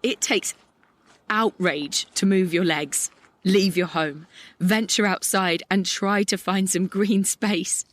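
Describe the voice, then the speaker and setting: A woman's voice: medium pitch, no room sound. A woman playing a melancholic, angry young idealist in a poetic audio drama monologue. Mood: angry, impassioned. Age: young adult. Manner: lyrical, reflective, deliberate. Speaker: actor in an audio play.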